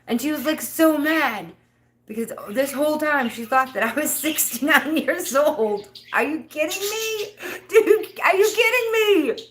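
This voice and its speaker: A woman's voice: high-pitched, expressive, a little slow, slight background noise. A woman in her fifties chatting casually on a livestream, rambling and laughing often. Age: 50s. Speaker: livestream host.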